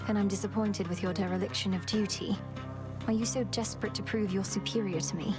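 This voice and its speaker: A woman's voice: mid-range voice, steady tone, a little fast, close to an everyday voice. A woman playing an earnest, dutiful young witch princess in a video game, speaking formally and with determination. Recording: music underneath.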